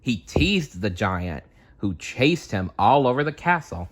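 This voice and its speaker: A man reading a children's story aloud with playful, dramatic, expressive narration. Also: on the low side, measured pace.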